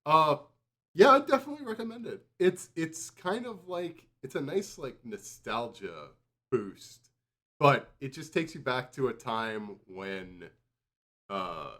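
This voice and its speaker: A man's voice: medium pitch, big pitch swings, measured pace, pushed and bright. A man chatting casually and informally as a podcast reviewer, rambling as he goes. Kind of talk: casual chat.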